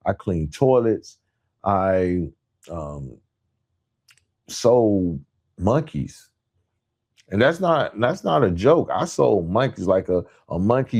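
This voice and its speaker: Low-voiced man giving motivational talk. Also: a little mellow. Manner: emphatic, rhetorical, repeats parallel phrases. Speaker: podcast host. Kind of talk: motivational monologue, direct address to listeners.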